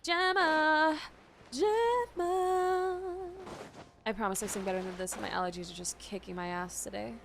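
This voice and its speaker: A woman doing casual live commentary as she plays a game, excitable and slangy. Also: fairly high pitch, measured pace, gravelly, a little hiss or hum. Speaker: streamer reacting to a game. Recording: faint game music behind.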